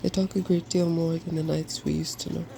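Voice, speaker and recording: A softspoken reader voicing a confident, feeble old dragon from a fairy tale, reasoning persuasively. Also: mid-range voice, flat delivery, brisk, natural voice. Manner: self-assured, reasoning. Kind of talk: fairy tale storybook reading. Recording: clearly noisy background.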